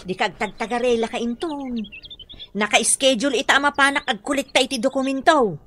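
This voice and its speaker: A woman's voice: sits high, brisk, pushed and bright, slight background noise. A woman with an Ilocano Filipino accent acting a bossy, haughty village treasurer in a radio drama. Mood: dismissive.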